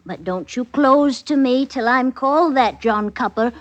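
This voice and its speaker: A dull old radio recording of a coy, scheming country mistress. Her voice sits fairly high, and she talks in a conspiratorial, rustic way typical of 1950s radio drama. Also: a little slow. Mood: coy, eager. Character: coy, scheming murderous mistress eager to be wife.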